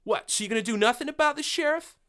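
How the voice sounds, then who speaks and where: Bitter, mocking farm woman, very high and bright. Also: quick pace, smooth. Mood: outraged. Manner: mocking, scornful. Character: angry scornful farm wife.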